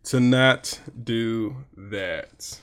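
A man co-hosting a podcast, chatting casually in an animated, playful, joking way. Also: medium pitch, drawn-out words, clear, resonant tone. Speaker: podcast co-host. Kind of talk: casual comedic chat.

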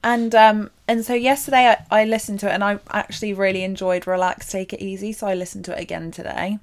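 A young woman co-hosting a podcast, speaking softly in casual chat and laughing often. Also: mid-range voice, level tone, fast talker. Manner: soft-spoken, laughs often. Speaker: podcast co-host.

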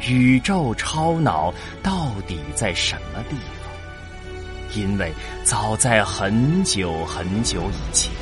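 A man narrates a sci-fi audio drama in a low voice. The delivery is very slow and dramatic, with wide swings in intonation. Music plays clearly behind the narration. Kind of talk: scripted dramatic story narration. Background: prominent background music.

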